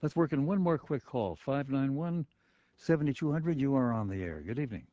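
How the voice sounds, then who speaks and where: Male radio host, jocular, very animated intonation, dry close sound, muffled. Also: medium pitch, no room sound. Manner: lively, jocular, quick asides. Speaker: radio talk show host. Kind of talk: radio call-in hosting. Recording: slightly muffled radio broadcast.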